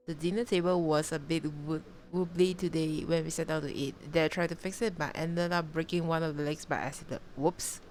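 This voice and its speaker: A woman game streamer giving casual live commentary and reading game text aloud in a halting, reactive way. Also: low-pitched, a little hiss or hum. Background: game music.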